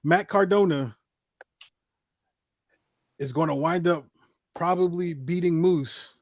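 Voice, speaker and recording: A man's voice: fairly high pitch, slow pace, no room sound. A man in his forties hosting a casual talk show, speaking emphatically and repeating himself to drive points home. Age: 40s. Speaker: host.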